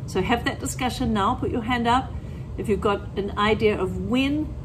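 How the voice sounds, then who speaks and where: A woman's voice: fairly high pitch, normal speed, background noise. A middle-aged woman teacher explaining things to children in plain words and reading aloud expressively from a picture book. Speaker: primary school teacher.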